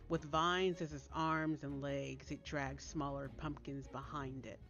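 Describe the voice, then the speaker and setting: A woman narrates a scary story in a low voice, taking her time over each phrase. Her delivery is theatrical and deliberate, sometimes hushed. The recording is slightly muffled, with music playing behind her. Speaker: author reading own story. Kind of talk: dramatic scripted storytelling narration. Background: soft background music.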